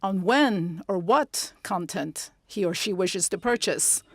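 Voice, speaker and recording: A woman interpreting live at a conference, speaking in measured, segmented phrases with pauses. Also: medium pitch, expressive. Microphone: close interpreter's microphone.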